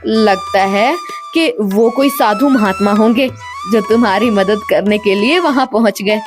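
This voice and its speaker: A woman voicing a caring, fretful village mother with an expressive, fussing manner in a storybook tale. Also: mid-range voice, unhurried, natural voice. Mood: pleased, wondering. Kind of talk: storybook character dialogue. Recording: music bed behind the voice.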